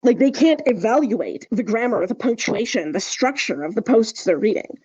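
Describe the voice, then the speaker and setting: Higher-pitched woman, mellow, casual podcast chat. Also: animated, moderate pace, husky, dull audio. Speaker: podcast co-host.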